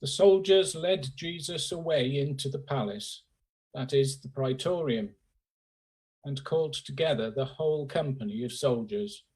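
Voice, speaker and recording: A man's voice: medium pitch, a little slow, darkish tone, husky. A man reading a scripture narrative aloud as part of a church service. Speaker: scripture reader.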